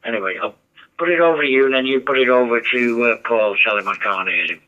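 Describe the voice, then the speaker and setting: A man's voice: mid-range voice, quick pace, bright, forceful tone. A man chatting over a ham radio, rambling and giving advice. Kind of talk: casual radio chat. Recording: dull muffled radio audio.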